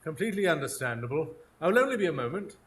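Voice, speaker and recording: An actor playing a keen, self-assured Victorian detective in a live radio drama, speaking formally and precisely. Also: male voice, on the high side, big pitch swings, very fast. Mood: polite, intent. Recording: live stage recording, faint ambient noise.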